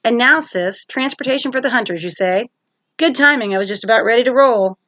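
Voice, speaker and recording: A woman's voice: on the high side, a little fast, fairly bright tone, no echo, natural voice. Woman voicing a breezy, cocky teenage sun god. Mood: breezy, pleased.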